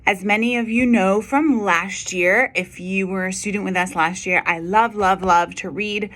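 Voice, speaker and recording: A woman with a medium-pitched voice reads a story for children. She speaks as a school educator, addressing her young listeners directly. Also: fairly even intonation, normal speed, fairly bright tone. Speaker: school educator reading to students. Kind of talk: children's storybook read-aloud.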